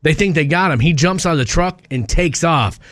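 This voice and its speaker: A man's voice: a little high, lively intonation, fairly quick, fairly bright tone, no room sound, studio quality. A man co-hosting a radio show, telling stories in an informal, conversational way. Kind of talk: casual radio talk, telling a news story.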